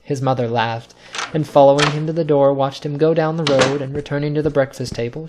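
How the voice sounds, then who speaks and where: A man narrating a scripted ghost story in a deliberate, suspenseful, dramatic style. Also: mid-range voice, a little mellow, a little hiss or hum.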